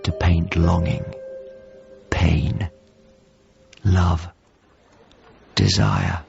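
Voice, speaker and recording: An actor playing a painter who wistfully recalls his lost master in a radio drama, speaking in intimate, measured, halting phrases. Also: sits low, little pitch movement, vocal fry, a little mellow, dull audio, faint music behind, heavily acted. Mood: reverent, longing. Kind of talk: radio drama monologue and dialogue.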